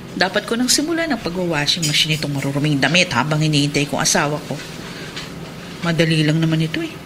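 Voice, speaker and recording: Resentful Filipino housewife, noisy recording. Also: female voice, low-pitched. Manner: complaining, indignant. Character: resentful, hard-hearted housewife, sharp-tongued wife. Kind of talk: Filipino radio drama acting.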